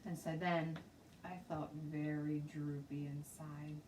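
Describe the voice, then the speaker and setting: A woman teacher narrating a children's storybook aloud in an expressive, dramatic way. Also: low voice, slow pace. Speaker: teacher reading stories aloud. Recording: roomy, distant mic, faint background noise.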